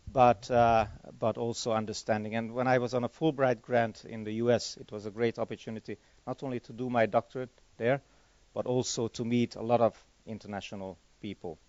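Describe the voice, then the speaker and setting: A man's voice: medium pitch, a little mellow, no room sound. Man answering at a conference. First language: Hungarian. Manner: chatty, rambling, self-correcting. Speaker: conference speaker. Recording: dull lo-fi conference recording.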